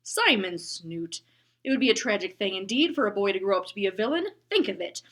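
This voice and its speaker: Woman voicing a big male bus driver, bellowing, courtly, lofty, breathy. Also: medium pitch, animated, brisk, a bit bright. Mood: booming, lofty.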